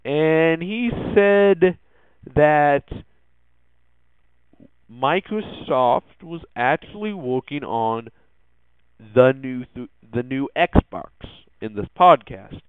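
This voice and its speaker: A man's voice: a little high, lively intonation, drawn-out words, pauses often, clean tone, no room sound. A young man hosting a casual tech podcast, talking hesitantly with many ums and restarts. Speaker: podcast host. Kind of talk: casual tech news monologue. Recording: dry phone-like lo-fi audio.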